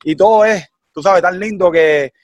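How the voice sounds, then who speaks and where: A man's voice: high-pitched, normal speed, dull audio. Upbeat Cuban man, chatty guest. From Cuba. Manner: animated, heavy fillers like "tú sabes" and "¿me entiendes?". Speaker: guest singer. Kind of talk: casual interview chat.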